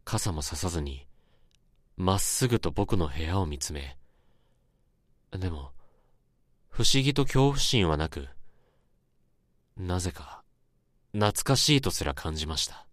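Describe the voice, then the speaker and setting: A man's voice: deep voice, animated, unhurried, long pauses, no echo, studio quality. A man acting as the haunted narrator of a radio horror drama, recounting an eerie dream in a dramatic monologue. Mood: hushed, wistful. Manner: dramatic, swelling from hushed to intense. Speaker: narrator and main character. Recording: storm and rain noise behind.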